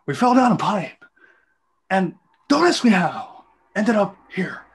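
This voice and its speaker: Man reading as husky, animated Mario, a blunt plumber. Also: sits high, unhurried, pitched above the performer's own voice, heavily acted. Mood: exasperated, pleading. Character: Mario, blunt streetwise plumber, reluctant and money-minded. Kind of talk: amateur dramatic script reading.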